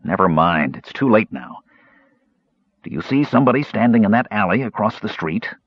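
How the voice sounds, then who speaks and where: A man's voice: lowish voice, animated, moderate pace, slightly rough, no echo, highs cut off. A man voicing a big, blunt warrior in a fantasy storybook, grumbling in exasperation at his companions. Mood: exasperated, muttering. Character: big male warrior, gruff exasperated protective twin brother.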